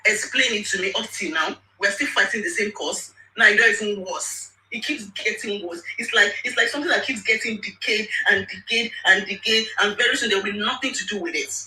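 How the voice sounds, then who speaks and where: Fiery Nigerian woman, bright and roomy. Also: mid-range voice, a little fast, hardly any pauses. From Lagos, Nigeria. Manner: emphatic, indignant, repeats words for force. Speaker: speaker in an online audio space. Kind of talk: impassioned political rant. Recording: roomy, distant mic with faint noise.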